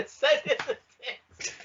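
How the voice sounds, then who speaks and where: A man hosting a casual comedic podcast, riffing excitably and swearing freely. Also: slow pace, lo-fi sound, background noise. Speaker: podcast host.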